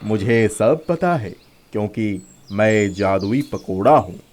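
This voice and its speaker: Low, unhurried magical talking snack voiced by a man. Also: lively intonation, a little mellow. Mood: commanding, reassuring. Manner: commanding, instructive. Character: talking magical pakora, a helpful male guiding spirit. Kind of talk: children's animated storytelling. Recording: music playing behind the voice.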